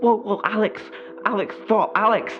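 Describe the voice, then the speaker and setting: Man voicing a high-pitched, panicky young prankster. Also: moderate pace, lo-fi sound, soft background music, natural voice. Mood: panicked pleading. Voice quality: lisp. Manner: quivering, panicky. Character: frightened young male prankster friend, childish and pleading.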